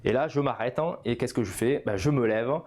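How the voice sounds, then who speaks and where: A man's voice: medium pitch, fairly quick, darkish tone, a little hiss or hum. Man in his fifties, informal coaching lesson. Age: 50s. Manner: didactic, punctuates phrases with "hein" and "voilà". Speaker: personal development coach. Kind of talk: informal video lesson, explanatory.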